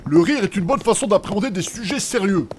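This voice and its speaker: A man's voice: sits high, animated, fairly quick, slight background noise. A man playing a crass, touchy, heavyset joker, flippant and argumentative, in a comedic fantasy audio drama. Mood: opinionated. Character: heavyset joking male adventurer, crass and touchy.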